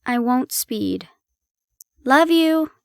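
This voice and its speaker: A woman playing a harried but affectionate young girlfriend in an audio roleplay, expressive, teasing and coaxing. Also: high voice, extremely slow, vocal fry, clean tone, dry recording, clean studio sound, full character voice. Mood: fond, playful.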